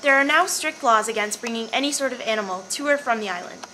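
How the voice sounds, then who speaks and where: A woman's voice: a little high, fast, bright, forceful tone, soft background music. A woman reading a scripted informational narration. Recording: noisy amateur recording with clear background noise.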